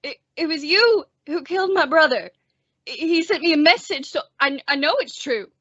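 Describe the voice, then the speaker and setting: A woman's voice: high voice, expressive, moderate pace, a bit bright, no room sound, highs cut off. A woman playing a timid, bookish young man made sheriff, with a hesitant, stammering, anxious manner, in an old-time western radio drama. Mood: nervous defiance. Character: timid, bookish young male sheriff, nervous insect collector.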